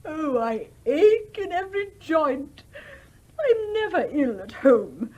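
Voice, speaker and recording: Patronising sister-in-law, high voice, fretful, bossy, very expressive, radio play. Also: female voice, slow, dull audio, exaggerated voice. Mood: self-pitying. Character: fussy, bossy, self-pitying sister-in-law, easily affronted. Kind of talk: theatrical radio play acting.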